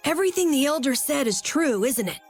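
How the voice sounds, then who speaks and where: Worried, sincere kid hero in a game, high lively pitch. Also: female voice, a little fast, soft background music. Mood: anxious, questioning. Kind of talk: anime-style video game dialogue.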